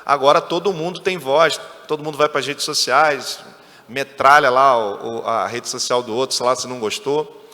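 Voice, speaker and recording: A man's voice: medium pitch, normal speed, pushed and bright. A man moderating a conference panel, asking questions in a conversational way. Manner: conversational, leading questions with frequent "né". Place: conference stage. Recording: reverberant hall.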